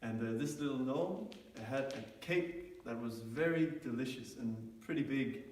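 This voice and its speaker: A man improvising a fairytale as a storyteller, with pauses. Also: mid-range voice, a little mellow. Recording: echoey room, distant microphone.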